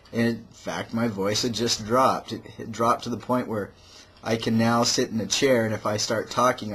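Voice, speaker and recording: A man talking casually to his camera at home in a personal video diary, hesitant, with restarts and soft laughs. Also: fairly low pitch, a little hiss or hum. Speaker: vlogger.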